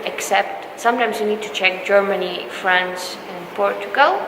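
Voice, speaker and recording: A woman's voice: mid-range voice, moderate pace. A young woman from Belarus with an Eastern European accent chats casually, encouraging and reassuring listeners as an exchange student giving advice. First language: not English; Polish is second language. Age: young adult. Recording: echoey hall with loud background noise.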